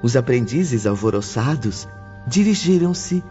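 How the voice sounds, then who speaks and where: A man's voice: medium pitch, lively intonation, measured pace, mellow, dull audio. A man reading scripted literary narration for a dramatized audiobook. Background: music.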